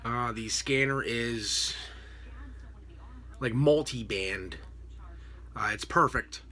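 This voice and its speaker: A middle-aged American man talks casually into a computer webcam in a room at home. His voice is bright and forward, at a middling pitch. He explains things off the cuff, halting and rambling as he goes. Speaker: vlogger, radio scanner hobbyist. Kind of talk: casual unscripted explanation to camera. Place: small home room with a desk, indoors. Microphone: computer webcam at desk distance.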